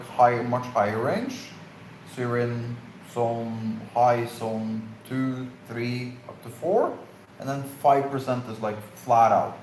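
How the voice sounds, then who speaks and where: A man answering viewer questions in a relaxed, halting way, pausing and restarting with fillers as he finds his words. His voice rings in an echoey room over a faint hiss. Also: medium pitch. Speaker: live stream co-host. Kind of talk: casual Q&A chat.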